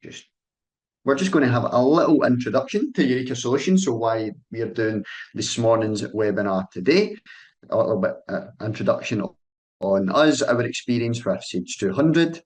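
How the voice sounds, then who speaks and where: A man's voice: mid-range voice, lively intonation, normal speed. A young Scottish man, an account manager, presenting a sales webinar over a call in a friendly, loose style with frequent ums and restarts. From Scotland.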